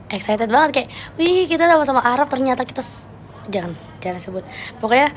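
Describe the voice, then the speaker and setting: A young woman with a Jakarta Betawi slang accent chatting casually with viewers on a live stream, playful and quick to laugh. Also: high-pitched, lively intonation, slight background noise. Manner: chatty, playful, laughs often, switches registers.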